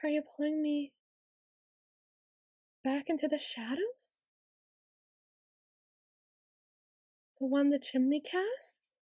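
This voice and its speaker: A woman's voice: sits high, measured pace, mellow, no echo, studio quality. A woman voicing a haughty, centuries-old tsundere vampire noble in an ASMR roleplay, with theatrical, formal and condescending phrasing. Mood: soft, tender.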